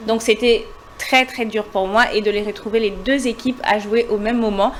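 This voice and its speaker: A woman's voice: mid-range voice, steady tone, moderate pace. Earnest woman guest, noisy background. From Ivory Coast, of Congolese descent. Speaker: interview guest, businesswoman and influencer. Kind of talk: conversational interview answers.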